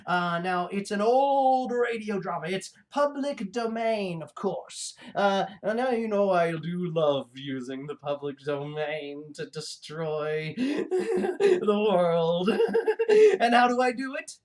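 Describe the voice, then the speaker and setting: A performer puts on a gleefully deluded mad scientist hosting a comedy show. The delivery is slow and halting, wandering off into asides. This villain cackles and savors dreams of revenge on the world. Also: mid-range voice, expressive. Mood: gloating, rambling. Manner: halting, hesitant, prone to cackling. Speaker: show host. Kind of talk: rambling comic in-character monologue.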